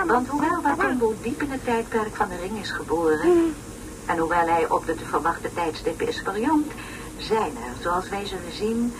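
An actress playing a pompous, long-winded woman lecturer on an educational tape in a radio play, speaking in solemn, over-articulated phrases. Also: medium pitch. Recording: noisy, distant old radio play recording.

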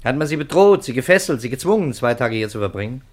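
Male police interrogator acted by a man, 1950s radio play: insistent, sardonic, highly animated intonation. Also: fast, slight background noise, lightly acted. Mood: pressing. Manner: pressing, sardonic, rhetorical questioning. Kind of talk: 1950s German radio drama acting.

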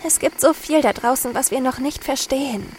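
A woman's voice: high voice, fairly quick, lightly acted. An actress playing a pensive, curious female soldier who watches for UFOs in a radio drama monologue. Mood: pensive wonder. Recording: clear background noise.

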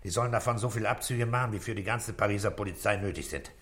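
Guy playing calm middle-aged police boss in a radio drama, low voice, short commanding lines. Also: fast, lightly acted. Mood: businesslike. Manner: terse, matter-of-fact, commanding. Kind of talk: German radio play acting.

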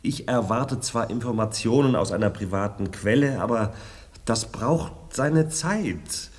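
A man acts an embattled, suspicious official in a radio play. He sounds distant in a reverberant hall, indignant and emphatic, with very lively intonation. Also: mid-range voice, a little slow, lightly acted. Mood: exasperated indignation. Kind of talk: radio drama acting.

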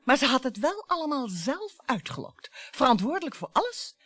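An actress in a radio play playing a bitter, provocative wife who dwells on words theatrically. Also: female voice, high-pitched, very animated, normal speed, fairly bright tone, dry recording, exaggerated voice. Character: bitter, taunting wife dredging up old family grievances.

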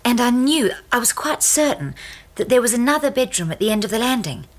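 Higher-voiced earnest young woman. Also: sing-song, fairly quick. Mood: wondering recollection. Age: young adult. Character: impressionable, anxious young married woman, unpretentious. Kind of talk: radio drama acting.

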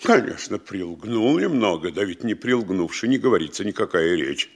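An actor playing a blustering, anxious middle-aged provincial mayor in a classical comedy, grumbling in drawn-out, emphatic phrases. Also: male voice, lowish voice, very animated. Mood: uneasy, musing. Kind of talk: Russian classical stage comedy radio acting.

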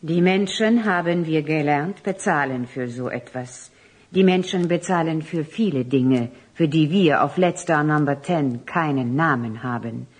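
A woman's voice: low voice, a little slow, husky. Transhuman sovereign, female, for radio play: formal, literal, emotionless, cool authority. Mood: detached, firm. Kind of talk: radio drama acting.

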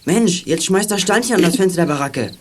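A male actor playing a brisk, practical boy detective in a children's radio play, matter-of-fact and decisive. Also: high-pitched, expressive, slight background noise. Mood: alert.

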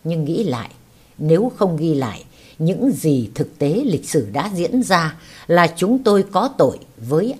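A woman with a husky voice reading a war memoir as scripted audiobook narration. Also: on the low side, a little mellow.

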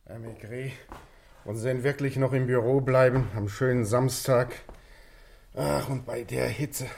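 An actor playing a chatty, familiar police office attendant in a 1960s radio play, folksy and deferential. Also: male voice, mid-range voice, fairly even intonation, measured pace, creaky, a little mellow. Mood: chatty, sympathetic.

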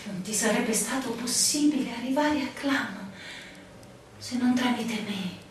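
Anguished barmaid played by an actress, very echoey recording. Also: female voice, a little high, natural voice. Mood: bitter, wounded. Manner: emotional, dramatic pauses, swinging intensity. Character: anguished young barmaid, lover, suspicious and wounded. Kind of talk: theatrical stage-style radio drama acting. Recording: very echoey room, distant mic, faint noise.

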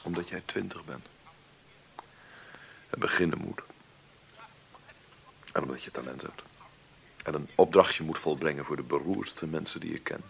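A famous film-maker in his fifties in a radio play, wealthy and wry. His voice is low and full of gravel. He speaks with halting authority and a generous streak under the command. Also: slight background noise. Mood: firm, generous. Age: 50s. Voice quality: gravelly, husky. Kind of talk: Dutch radio play acting.

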